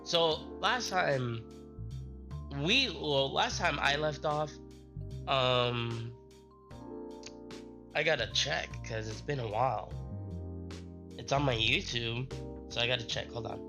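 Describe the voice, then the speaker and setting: A young adult man, sick with a strained voice, chatting casually on a live stream in a halting, rambling way. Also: medium pitch, music in the background.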